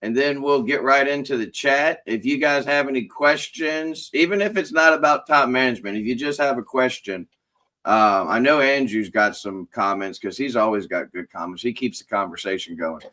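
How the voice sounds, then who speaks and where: Sniffly, chatty man host with a bright voice. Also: medium pitch, normal speed. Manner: chatty, informal, rambling. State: sniffling, stuffy. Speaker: host of a business owners' live chat. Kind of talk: casual chat and spoken prayer.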